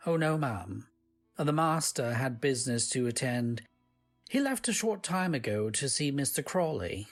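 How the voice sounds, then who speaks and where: A woman's voice: a bass voice, expressive, measured pace, soft background music, full character voice. A woman playing a humble, courteous manor servant in an old-time radio drama, deferential and old-fashioned in manner. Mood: polite.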